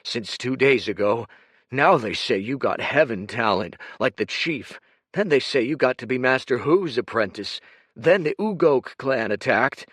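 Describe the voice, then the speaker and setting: A man voicing a huge, hot-tempered young man who shouts and snarls, his voice cracking with grief. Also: medium pitch, slow pace, few pauses, dry recording, studio quality, voice pushed up. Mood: raw, menacing.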